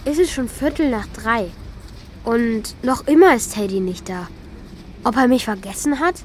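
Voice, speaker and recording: A performer, heard as female, playing a curious, warm-hearted young child in a children's radio play. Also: on the high side, lively intonation, normal speed, slight background noise, lightly acted. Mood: pensive narrating. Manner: earnest, questioning, childlike.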